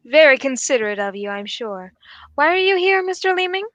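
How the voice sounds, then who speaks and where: A young woman playing a keen, courteous detective in a radio mystery, phrasing everything formally and deliberately. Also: sits high, very animated, fairly bright tone, dry recording, lo-fi sound. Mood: composed, probing. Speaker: actor in a student radio drama. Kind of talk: old-time radio mystery acting.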